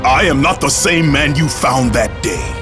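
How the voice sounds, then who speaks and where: A man's voice: mid-range voice, expressive, unhurried, lightly acted. An actor playing a grim, vengeful Spartan warrior man who speaks with bitter intensity in a game cutscene. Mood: defiant. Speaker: game character. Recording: game cutscene over music.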